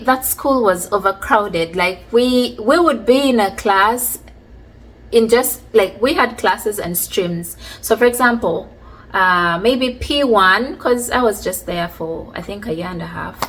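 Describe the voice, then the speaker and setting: A woman, casually telling stories from her childhood in a chatty, relaxed way. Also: mid-range voice, rough recording. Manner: chatty, relaxed, many fillers like "like" and "you know". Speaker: vlogger. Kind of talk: casual storytelling about childhood.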